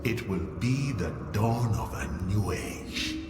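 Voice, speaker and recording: A solemn, grandiose visionary of a video game, preaching a new age in a low voice. The words are formal and prophetic. The voice rings from afar in a long, echoing hall with music behind it. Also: male voice, sing-song, takes it slowly, recorded from a distance. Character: solemn visionary leader promising immortality to mankind. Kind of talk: video game cutscene acting.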